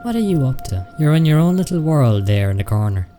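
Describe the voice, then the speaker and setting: An actor playing a watchful, suspicious deducer in a radio play, musing in archaic, probing questions. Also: male voice, a little high, very animated, fairly quick. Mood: dry, wry. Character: watchful, suspicious deducer, puzzling out another's secrets. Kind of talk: theatrical radio drama monologue. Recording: music playing loudly behind.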